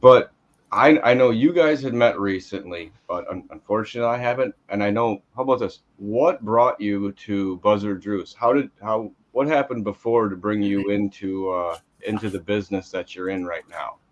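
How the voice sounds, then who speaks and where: A man hosts a whiskey review show in an easygoing, joking way, often repeating himself as he goes. His voice sits on the low side, and the audio is a little dull and lo-fi. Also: normal speed. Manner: joking, relaxed, repeats words. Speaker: host. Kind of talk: casual podcast hosting.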